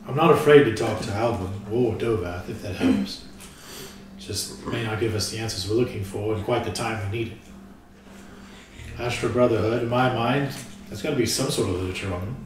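A man's voice: lowish voice, brisk. A man talking casually as a player in a tabletop role-playing game discussion. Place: living room group session. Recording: very echoey room, distant mic, faint noise.

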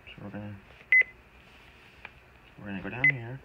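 A man talking himself haltingly through a gadget in a casual, unscripted walkthrough, recorded on a handheld phone. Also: on the low side, dull audio. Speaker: amateur product demonstrator. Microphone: handheld phone camera. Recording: very noisy handheld recording with button beeps.

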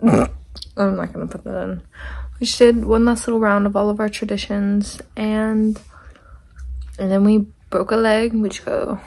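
A woman's voice: mid-range voice, fairly even intonation, unhurried, creaky, darkish tone. A young woman chatting casually to camera in an informal, rambling, youthful way, recorded on a handheld camera at arm's length. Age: teens to young adult. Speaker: vlogger. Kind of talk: casual vlog chat to camera.

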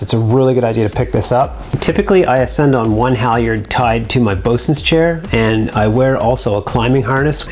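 A man in his thirties from Canada, explaining sailing gear in a practical, steady casual review, recorded close to the camera in a small bare room. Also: medium pitch.

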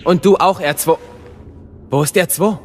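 Headstrong young apprentice, reverberant. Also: male voice, high voice, moderate pace, soft background music. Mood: impatient. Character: headstrong young male apprentice warrior, impatient and curious. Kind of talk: audio drama acting.

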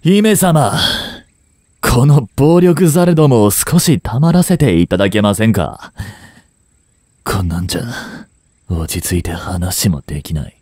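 Guy playing proud prince caught as a spy, deep slow voice, formal and pleading, voice drama style. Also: warm tone, slightly rough, no room sound, studio quality. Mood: cornered, sardonic. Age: 20s. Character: proud, smooth-talking young prince unmasked as a spy. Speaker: amateur voice actor and voice drama creator. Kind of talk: dramatic Japanese voice drama acting.

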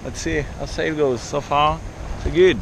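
A man in his thirties from France with a French accent, casually narrating a solo sailing trip, relaxed and chatty with many fillers. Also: on the high side, a little slow, gravelly. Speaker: solo sailor vlogger. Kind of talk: casual video diary. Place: small sailboat cockpit at sea, outdoors. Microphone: action camera held at arm's length. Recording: wind and sea noise on action camera. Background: wind and water noise.

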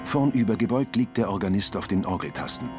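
A man's voice: lowish voice, animated, fast talker, loud music behind the voice. A man narrating a scripted audio description in terse sentences.

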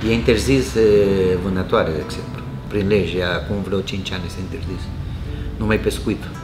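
A low-voiced man in his sixties with a Costa Rican Spanish accent tells stories about his years abroad in a relaxed, warm way, chuckling often. He sits in a restaurant bar and talks into a small lapel mic. Music plays softly behind him. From Costa Rica. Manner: warm storyteller, chuckles often, gestures with hands. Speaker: restaurant owner. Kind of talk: casual interview chat.

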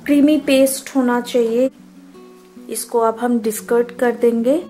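A woman's voice: fairly high pitch, fairly even intonation, unhurried, warm tone, soft background music. A woman with an Indian accent narrating a cooking tutorial step by step, mixing in English words as a home cook hosting her channel. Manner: simple, instructional, switches between Hindi and English.

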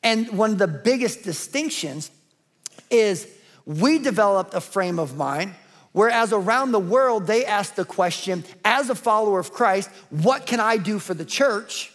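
A man's voice: high-pitched, lively intonation, a little slow, a bit bright. A man in his forties preaching a sermon from a large church stage on a headset mic, emphatic and building through repetition. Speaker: pastor.

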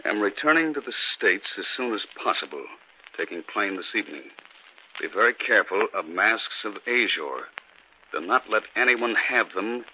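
An actor playing a steady husband caught in an eerie haunting, with deliberate, dramatic 1950s radio delivery. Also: male voice, fairly low pitch, lightly acted. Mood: puzzled. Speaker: actor narrating and playing the lead. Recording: dull, noisy 1950s radio recording.

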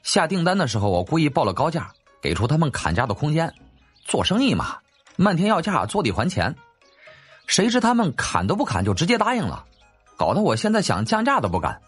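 A shrewd young lord with a head for business, acted by a male narrator. His delivery swings around in pitch, polite yet playful, in a slightly husky audiobook voice. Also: brisk, faint music behind, heavily acted. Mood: mock lament. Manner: animated, courteous, salesmanlike.

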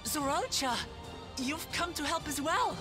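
A high-voiced, earnest lioness warrior. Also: female voice, expressive, unhurried. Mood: hopeful. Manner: earnest, impassioned pleading. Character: earnest, idealistic young lion-woman warrior. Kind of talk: video game cutscene acting. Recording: music behind the voice.

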